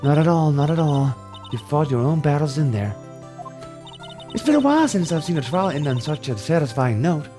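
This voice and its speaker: A man voicing a composed female law-firm chief, a measured and reassuring mentor, in a dramatic game reading. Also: fairly high pitch, very animated, mellow, faint music behind. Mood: pleased.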